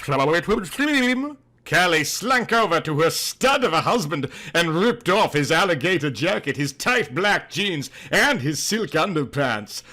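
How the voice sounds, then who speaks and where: A man's voice: a little high, lively intonation, a little slow, few pauses, sharp tone. A man narrating a comic audiobook in a mock-serious, theatrical, overemphatic way.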